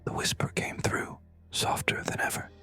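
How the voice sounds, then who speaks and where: A whispering storyteller narrates horror over background music. The delivery is hushed and suspenseful, leaving pauses between short phrases. The whisper is breathy and very bright, with no voiced tone at all. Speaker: narrator of scary stories. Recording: music behind.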